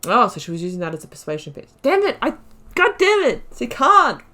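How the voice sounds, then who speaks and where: A woman hosting a game playthrough, thinking aloud in casual, expressive commentary with emphatic repetition. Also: a little high, a little fast. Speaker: gaming video host.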